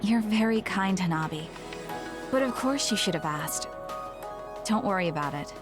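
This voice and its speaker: An actress playing a composed, blunt young soldier in an English game dub, with a measured, matter-of-fact delivery. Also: female voice, medium pitch, lively intonation, over loud music, lightly acted. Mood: calm, frank.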